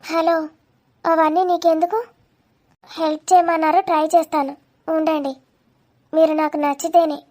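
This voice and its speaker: A woman's voice: extremely high pitch, little pitch movement, moderate pace, pauses often, warm tone. A woman voicing a saucy, guarded young woman in a cartoon love story. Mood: curt, teasing.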